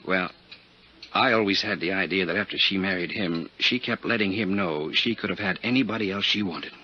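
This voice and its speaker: Fast, husky, anxious American broker. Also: male voice, low-pitched. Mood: bitter, confiding. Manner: earnest, anxious, confiding. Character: flustered, gossipy small-city male insurance broker. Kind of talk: 1950s radio drama acting. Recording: muffled 1950s radio recording.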